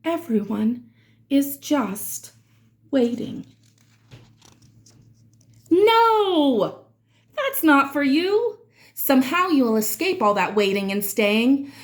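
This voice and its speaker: A woman reading rhyming verse aloud for children in an expressive, dramatic way, drawing out certain words. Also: high-pitched, very slow, pauses often. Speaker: children's read-aloud reader.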